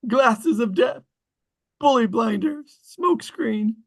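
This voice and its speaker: Wistful kid, played by a woman, creaky husky voice, film table read. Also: fairly high pitch, expressive, slow pace, exaggerated voice. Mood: wistful grief. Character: wistful kid mourning a lost friend.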